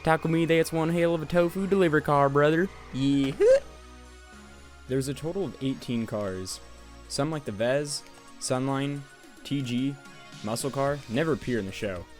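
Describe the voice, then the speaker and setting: A man's voice: medium pitch, moderate pace. Sarcastic young guy doing voice-over. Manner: sarcastic, profane and joking. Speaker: youtuber reviewing a game. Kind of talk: casual voice-over game review. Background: faint music.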